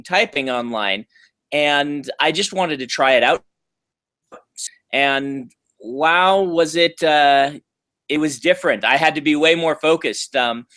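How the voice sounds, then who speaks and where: Chatty man pausing with ums. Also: fairly high pitch, sharp tone. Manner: thoughtful, frequent ums and restarts. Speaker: author being interviewed. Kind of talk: casual interview answers.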